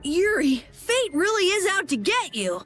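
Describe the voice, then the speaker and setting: A woman voicing an excitable, timid young boy sidekick in an anime video game. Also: very high voice, a little slow, soft background music, exaggerated voice. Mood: whiny.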